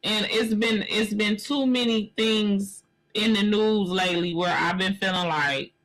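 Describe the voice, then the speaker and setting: A woman's voice: medium pitch, fairly even intonation, a little slow, fairly bright tone, rough recording. A woman in her forties giving blunt, emphatic commentary on a live stream, speaking straight to the viewer as "sis". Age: 40s. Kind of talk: casual commentary on a news story.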